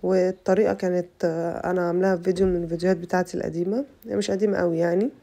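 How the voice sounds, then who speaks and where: Fast-talking Egyptian woman with a cold. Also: on the low side, steady tone, gravelly, warm tone. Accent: Egyptian Arabic. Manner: chatty, addresses viewers as girls. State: voice rough from a bad cold. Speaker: cooking channel host. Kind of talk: casual cooking tutorial voice-over.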